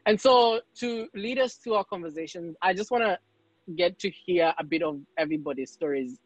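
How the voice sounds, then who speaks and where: A man from Kenya hosts the podcast in a thoughtful, questioning way. His voice sits a bit high for a man and is recorded close and dry, with a dull, muffled sound. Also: normal speed, no echo. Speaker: podcast host. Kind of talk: casual podcast hosting.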